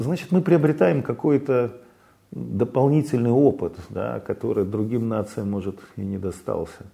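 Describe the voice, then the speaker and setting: Gravelly man, halting speech. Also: on the low side, mellow. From Belarus. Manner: halting, full of fillers and restarts. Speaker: interview guest. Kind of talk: casual interview talk.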